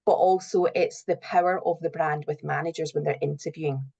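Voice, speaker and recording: Low-voiced woman in a slightly muffled interview. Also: fairly even intonation, a little fast, gravelly, mellow, slightly rough. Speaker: interview guest, recruitment and HR professional. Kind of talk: conversational interview answers.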